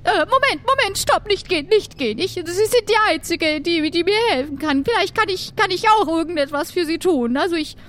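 A woman voicing a troubled, secretive witch who trades in dark goods, speaking in an earnest, halting, pleading way in an improvised audio adventure. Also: very high voice, lively intonation, pitched above the performer's own voice. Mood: flustered, pleading.

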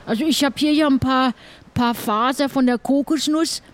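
A woman's voice: high voice, normal speed, close to an everyday voice. Woman playing an easygoing pirate, southern German accent. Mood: easygoing, chatty. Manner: chatty, colloquial. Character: easygoing, chatty, good-natured shipwrecked pirate. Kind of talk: improvised audio adventure play.

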